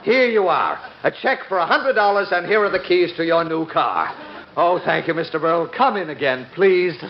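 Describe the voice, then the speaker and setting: A pushy, high-voiced car salesman in an old-time radio comedy sketch. The recording is dull and noisy, with clicks throughout. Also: expressive, normal speed. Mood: eager, obliging. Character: fast-talking car salesman, first fawning, later bossy and rude.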